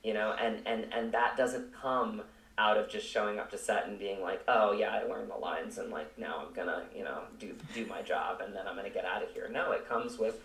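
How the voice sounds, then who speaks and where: Man, low pitch, earnest, halting delivery, reflective interview talk, echoey room. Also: a bit bright. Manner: earnest, halting, repeats words while searching for phrasing. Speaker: actor, podcast guest. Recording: roomy, echoing, distant from mic.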